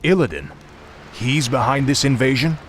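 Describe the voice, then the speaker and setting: A man's voice: mid-range voice, sing-song, moderate pace, a little hiss or hum, lightly acted. A man playing an arrogant, commanding undead death knight prince in a video game, speaking curtly. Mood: surprised. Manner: commanding, curt. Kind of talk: video game cutscene acting.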